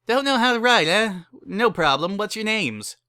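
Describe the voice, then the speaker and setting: A man's voice: high voice, animated, normal speed, sharp tone, smooth, dry recording, clean studio sound, pitched above the performer's own voice. A man voicing a cheery, pushy pony mare who runs a wedding chapel, with eager sales patter in a dramatic reading. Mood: gushing, pushy. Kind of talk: dramatic reading of fan fiction.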